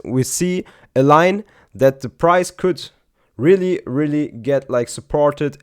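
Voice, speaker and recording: A man with a German accent walks through trading charts in English, his second language. His voice sits a little high, and he leans on repeated words and frequent asides to his viewers. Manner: says "guys" and "like" often, repeats "very, very". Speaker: crypto YouTuber. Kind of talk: chart analysis commentary.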